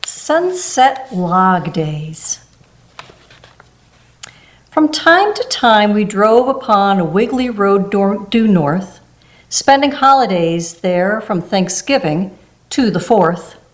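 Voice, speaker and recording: Slow, gentle woman reading a storybook. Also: mid-range voice, expressive. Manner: slow, gentle rhyming delivery with small spoken asides. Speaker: storybook reader. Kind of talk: reading a rhyming picture book aloud.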